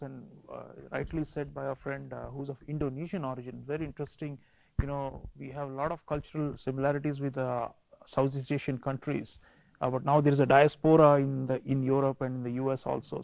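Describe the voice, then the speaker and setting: A man hosting a panel discussion, speaking in a measured way with frequent pauses and turning to address his viewers. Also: mid-range voice, creaky, a little mellow, no room sound, poor audio. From India. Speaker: show host.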